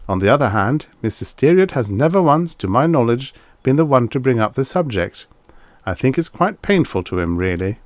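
A talkative hotel manager, acted out by a male narrator, earnest and explanatory in an audiobook comedy. The voice rises and falls in lively swings, recorded dry. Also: medium pitch, dry recording, voice pushed up.

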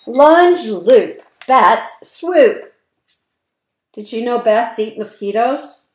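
A woman in her fifties reading a picture book aloud to young children, stressing words deliberately and expressively. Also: fairly high pitch. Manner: slow, deliberate, expressive word emphasis. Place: indoor room at home, by windows. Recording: roomy, echoey webcam sound.

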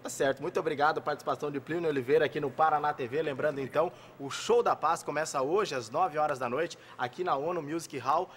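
Male reporter, 30s, quick and slightly high, live TV segment with soft music behind. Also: slight background noise. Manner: brisk, lively, gestures a lot. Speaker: field reporter. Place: dark studio set.

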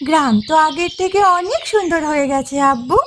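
A woman's voice: high-pitched, normal speed, a little hiss or hum, natural voice. A woman voicing a young village girl in a cartoon, inquisitive and a little plaintive. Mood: curious. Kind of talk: cartoon voice acting.

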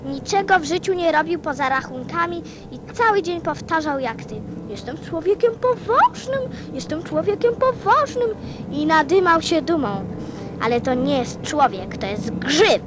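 Very high-voiced earnest little boy. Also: animated, normal speed, poor audio. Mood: upset, indignant. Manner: childlike, insistent questioning. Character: small earnest, sensitive little prince boy from another planet. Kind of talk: radio play acting.

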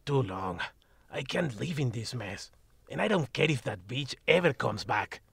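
A man's voice: medium pitch, big pitch swings, measured pace, fairly bright tone, no room sound, exaggerated voice. An actor voicing a grumpy, foul-mouthed male talking cat in an audio drama, sarcastic and blunt, tossing in Spanish words. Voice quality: gravelly and husky. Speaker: magical shop cat.

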